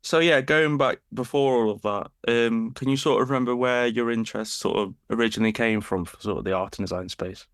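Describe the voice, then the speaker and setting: A man's voice: mid-range voice, a little fast, few pauses, a bit bright, smooth, no echo, clean studio sound. A man hosting a podcast, asking chatty, casual questions. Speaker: podcast host.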